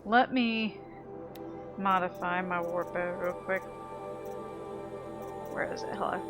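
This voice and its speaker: A woman's voice: on the low side, fairly even intonation, slow pace. A woman giving casual commentary while she plays a video game. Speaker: gameplay streamer. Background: loud game music.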